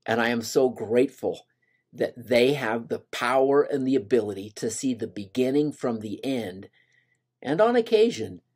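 A man's voice: fairly high pitch, darkish tone. Earnest older man teaching scripture. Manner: earnest, voices scripture lines with rising emphasis. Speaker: gospel study teacher. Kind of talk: scripture lesson over slides.

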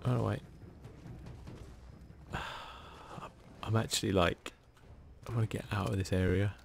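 A British man giving casual, hushed ASMR commentary on a video game, grumbling mildly as he plays. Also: fairly low pitch, fairly even intonation, brisk, vocal fry, a little hiss or hum. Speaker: gaming video host.

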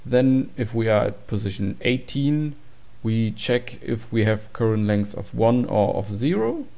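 A man's voice: fairly low pitch, lively intonation, measured pace, creaky, dark tone. A man giving an informal, matter-of-fact tutorial walkthrough of program code. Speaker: tutorial presenter.

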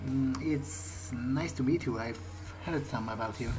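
Hesitant male gamer over clear music. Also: mid-range voice, poor audio. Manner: hesitant, halting, conversational. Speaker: gamer role-playing his character. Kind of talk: in-character game playthrough commentary. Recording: game music behind the voice. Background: game music.

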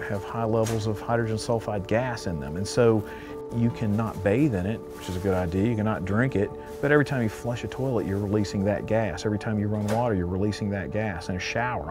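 A man's voice: on the low side, brisk, over music. A man in his fifties, an environmental health researcher explaining in a documentary interview.

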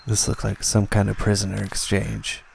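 A man playing a weary, bitter survivor who narrates a post-apocalyptic radio play in a grim, deliberate way. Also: fairly low pitch, monotone, creaky, darkish tone, a little hiss or hum.

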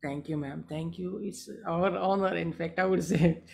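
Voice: medium pitch, fairly even intonation, moderate pace, creaky, darkish tone. A host running a live online poetry gathering, speaking politely and hesitantly and often repeating words and phrases. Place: online video call.

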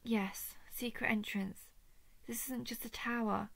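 A woman playing a lovestruck female dragon, possessive yet tender and remorseful, speaking softly and hesitantly with breathy whispers in an intimate roleplay audio. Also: a little high, steady tone, a little slow, no echo. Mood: pleading, confessional. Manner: soft, hesitant, often dropping into breathy whispers.

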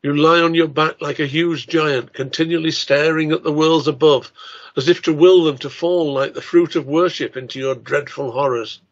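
A man's voice: on the high side, steady tone, moderate pace. Older man, formal delivery, dull lo-fi sound. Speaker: writer and lecturer. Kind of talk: scripted talk and poetry recital.

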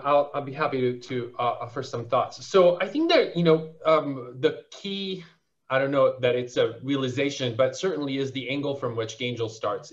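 A man answering a panel question over a video call, his voice at an ordinary middle pitch. He speaks articulately but keeps restarting and filling pauses with um. The audio is somewhat dull and lo-fi. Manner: articulate, fluent with frequent um fillers and restarts. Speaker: panelist, venture investor.

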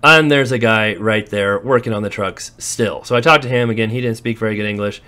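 A man's voice: lowish voice, fairly quick, pushed and bright. A man, a landlord, chatting casually as he walks through his properties on a handheld phone camera. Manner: chatty, storytelling, occasional laughs. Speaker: real estate investor and landlord. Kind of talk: walkthrough vlog narration. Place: walking through empty warehouses and outdoors.

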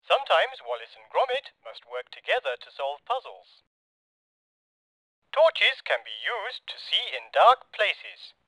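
A man reads short scripted tutorial instructions for a video game. His voice is bright and a little high, with plenty of movement in the pitch. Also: very animated, a little slow, dry recording, poor audio. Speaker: game developer recording placeholder help lines.

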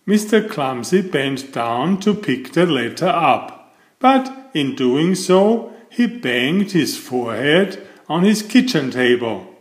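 Animated, unhurried male storyteller. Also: fairly high pitch, reverberant. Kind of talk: picture book read aloud.

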